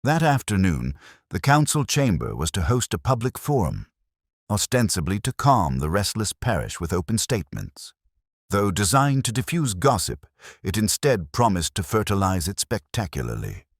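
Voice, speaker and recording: A man giving a measured, literary scripted narration of a detective story. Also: on the low side, sing-song, dry recording, studio quality.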